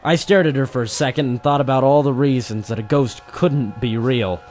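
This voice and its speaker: A man's voice: mid-range voice, fairly quick, darkish tone, natural voice. Man playing a wry noir private eye, music underneath. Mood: brooding. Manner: wry, dramatic first-person storytelling. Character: hardboiled male private eye narrating his own case. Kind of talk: old-time noir radio detective drama narration.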